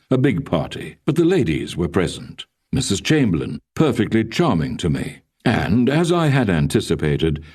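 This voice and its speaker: A man narrating a first-person literary memoir as an audiobook reader, in a measured, formal and expressive storytelling manner. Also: low voice, recorded from a distance.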